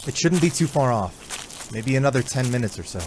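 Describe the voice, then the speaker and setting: A man's voice: medium pitch, animated, a little fast, lightly acted. A man playing an alert young scout in a fantasy audio drama, speaking in clipped, urgent phrases. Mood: wary. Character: alert young male scout who knows the woods. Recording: noisy ambience around the voice.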